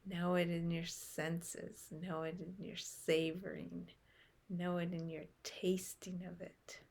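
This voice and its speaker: Female meditation guide: lowish pitch, gravelly fry, soothing and gentle. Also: level tone, slow pace. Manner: gentle, soothing, with soft breathy phrase endings.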